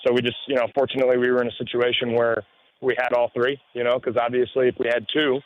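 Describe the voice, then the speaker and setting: A man who coaches high school football, explaining things in spontaneous interview answers and often saying "you know". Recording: dull lo-fi radio audio.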